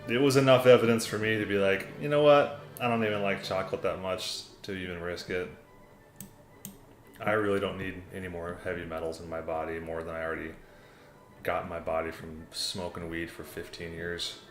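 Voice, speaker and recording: A man's voice: sits low, steady tone, brisk. A young man from the United States hosting a casual livestream, reading chat messages aloud in a laid-back, rambling way and often calling people "man" and "dude.". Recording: roomy echo, classical music playing behind.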